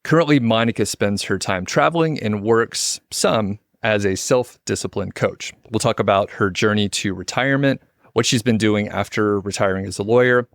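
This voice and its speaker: A man's voice: on the low side, normal speed, dry recording, clean studio sound. A man hosting a podcast, talking in a friendly, informal way with lots of casual fillers. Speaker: podcast host. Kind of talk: casual interview chat.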